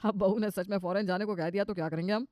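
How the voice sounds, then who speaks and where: A woman's voice: mid-range voice, steady tone, quick pace, darkish tone, no echo, studio quality, natural voice. A woman voicing a pretentious, grumbling middle-aged father-in-law in a comic cartoon story, showy and exasperated, dropping in English words. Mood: weary grumbling. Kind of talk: cartoon comedy story dialogue.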